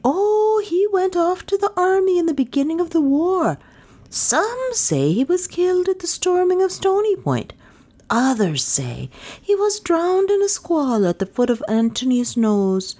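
A woman voicing an excitable, chatty old villager in a storybook, full of exclamations. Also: extremely high pitch, a little slow, pitched above the performer's own voice, exaggerated voice. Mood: gossipy. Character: excitable old villager, gossiping about lost neighbours.

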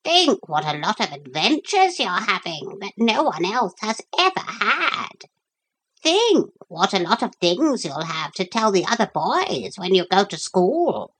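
A woman's voice: medium pitch, big pitch swings, unhurried, a bit bright, husky, pitched above the performer's own voice, full character voice, low-quality recording. A woman voicing a prim, worldly-wise talking parrot in a children's story, with formal, precise pronouncements. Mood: encouraging.

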